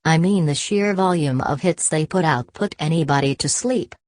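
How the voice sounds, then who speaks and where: A woman voicing a snarky female AI robot sidekick who mocks the host in a dry, flat way. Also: deep voice, moderate pace, no echo, close to an everyday voice. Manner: dry, mocking, flat delivery.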